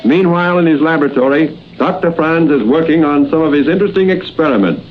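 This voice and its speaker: A man's voice: a little high, fast talker, over loud music. A man narrating scene changes as announcer in a 1940s radio drama, on a muffled old recording.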